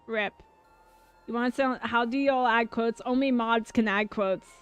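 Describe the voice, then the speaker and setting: A woman streaming a game, chatting casually with viewers, excitable and swearing freely. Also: a little high, a little slow, smooth. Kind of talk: casual livestream chat over gameplay. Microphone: dynamic mic. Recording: dull mic with faint game music.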